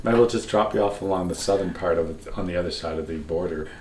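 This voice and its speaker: A man's voice: low voice, fast, a little mellow. A tired man with a Northern Ontario French accent, co-hosting a casual chat with playful, teasing banter. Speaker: podcast co-host. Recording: echoey room, mic at a distance, faint noise.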